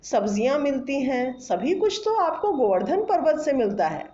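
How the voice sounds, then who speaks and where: A woman's voice: sits high, very animated, moderate pace, dark tone, a little hiss or hum. A woman storyteller voicing a young boy god who questions and persuades in a children's tale. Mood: persuasive. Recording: echoey room, slightly dull sound.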